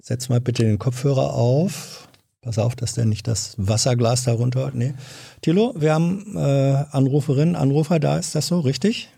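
Mellow gravelly male radio host. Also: mid-range voice, normal speed, no echo. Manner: brief prompts and questions. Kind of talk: interviewing.

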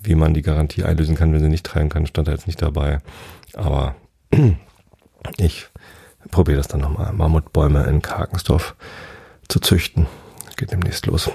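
Very deep, gravelly middle-aged man. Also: flat delivery, moderate pace, pauses often, mellow. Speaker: host telling a personal travel story. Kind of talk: casual rambling travel storytelling.

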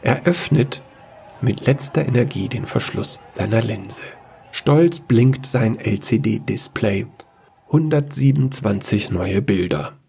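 A man reading scripted narration for a sci-fi fairy-tale audio drama in a measured, gravelly storytelling way. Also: mid-range voice, animated, darkish tone, a little hiss or hum.

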